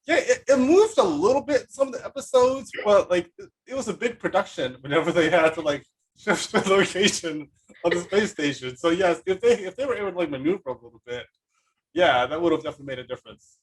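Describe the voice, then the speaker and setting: A man hosting a casual podcast chat, talking in a rambling, halting but enthusiastic way. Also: high voice, animated. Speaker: podcast host, writer.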